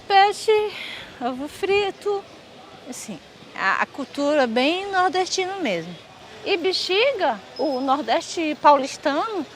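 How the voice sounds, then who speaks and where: A woman's voice: high voice, fairly bright tone, a little hiss or hum, poor audio. A woman from Northeast Brazil gives a reflective, earnest testimony for a documentary. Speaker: interviewed resident and mother. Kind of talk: documentary interview.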